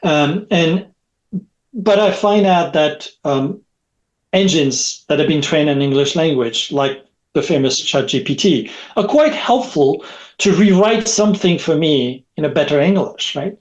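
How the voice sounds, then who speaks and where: A man's voice: on the high side, animated, unhurried, rough recording. A middle-aged man whose first language is French, speaking as a guest in a podcast interview over a video call, rambling and repeating words, often adding a questioning "right?". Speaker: guest, technology expert.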